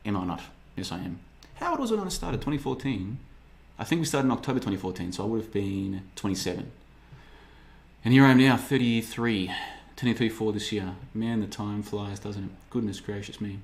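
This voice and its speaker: A man's voice: lowish voice, expressive, a little fast, creaky. A man in his thirties hosting a podcast, talking in a rambling, sarcastic way as he reads the live chat. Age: 30s. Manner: rambling, sarcastic, slips into mocking voices. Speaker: podcast host. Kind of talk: casual podcast monologue reading live chat.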